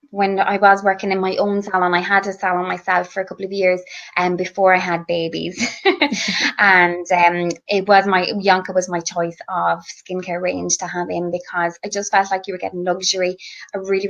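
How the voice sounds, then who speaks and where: A woman's voice: fairly low pitch, creaky, fairly bright tone. Irish woman, enthusiastic beauty chat, frequent ums, dull lo-fi sound. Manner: chatty, enthusiastic, many fillers and restarts. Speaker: guest beauty blogger. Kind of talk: casual chat about skincare products. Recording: slightly muffled lo-fi audio.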